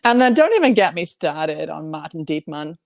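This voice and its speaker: A woman's voice: sits low, big pitch swings, normal speed, vocal fry, no echo. Woman, from Germany, opinionated, chatty, laughs often, video call, dry sound. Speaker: co-host guest commentator. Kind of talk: casual video call chat.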